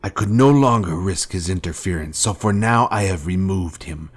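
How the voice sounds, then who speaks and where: An actor playing a menacing fallen Jedi master in a video game, speaking in a solemn, pompous, formal way as a cold former mentor. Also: male voice, low-pitched, expressive, moderate pace, husky. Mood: cold, certain. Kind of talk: scripted video game villain dialogue.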